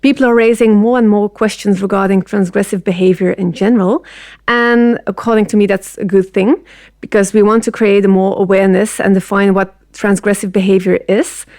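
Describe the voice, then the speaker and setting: A woman speaks in a steady, composed way, as if giving a prepared statement. The sound is dry and close, with no room echo. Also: medium pitch, a little fast. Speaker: program representative.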